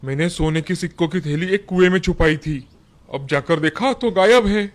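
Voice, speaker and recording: A man voicing a sly, greedy village shepherd in an animated moral story, in a theatrical manner. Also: fairly high pitch, moderate pace, close to an everyday voice. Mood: sad, grateful.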